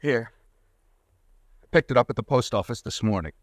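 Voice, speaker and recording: An actor playing a frightened pharmacist haunted by a murder, pleading and panicky, in an old radio drama. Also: male voice, medium pitch, animated, normal speed, no room sound, clean studio sound, close to an everyday voice. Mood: shaken. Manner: panicky, pleading, breaking into shouts. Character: guilt-ridden young pharmacist murderer. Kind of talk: 1940s radio acting.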